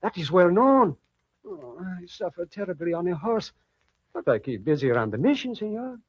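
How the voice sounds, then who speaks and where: An actor playing an eager, devoted soldier and rancher with a Spanish accent in an old-time radio drama. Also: male voice, sits high, sing-song, normal speed, breathy edge, full character voice. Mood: plaintive, humble. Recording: dull lo-fi old radio recording.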